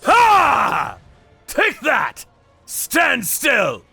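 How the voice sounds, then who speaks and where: Guy doing a smug sadistic avenger from a manga, taunting, drawn out, forceful. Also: very high voice, huge pitch swings, very slow, vocal fry, slightly rough, soft background music, pitched above the performer's own voice, heavily acted.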